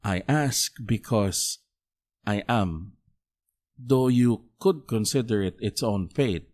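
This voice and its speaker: An actor playing a polite, earnest visiting priest in an audio drama, gentle, hesitant and formal. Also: male voice, lowish voice, slow pace. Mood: earnest, confessional.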